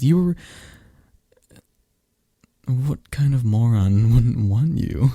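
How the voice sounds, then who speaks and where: Gravelly, dark-voiced young man chatting. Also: fairly high pitch, dry recording, studio quality. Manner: talks to an unheard listener, chatty and animated. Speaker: ASMR roleplay performer. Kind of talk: scripted friend roleplay, one-sided conversation.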